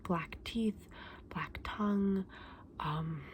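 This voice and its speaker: A woman playing a kindly, chatty fantasy saleswoman in an ASMR roleplay, mixing breathy whispers with soft, gentle, unhurried speech. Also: medium pitch, slight background noise. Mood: soft, informative. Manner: gentle, unhurried, hesitant, endearing customer address. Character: kindly, chatty saleswoman in a dragon egg shop.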